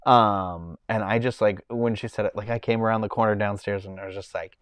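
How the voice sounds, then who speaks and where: A man's voice: fairly low pitch, steady tone, a little fast, clear, resonant tone, dry recording. A man with a Boston accent, hosting a casual livestream from home and chatting in a rambling way while he reads the chat. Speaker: livestream host.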